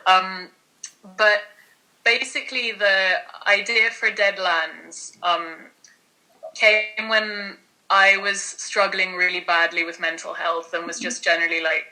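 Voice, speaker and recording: A woman's voice: medium pitch, steady tone, takes it slowly, pushed and bright, rough recording. A young woman from Greece in a band, talking eagerly in a casual interview, with many fillers and restarts. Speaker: metal band member.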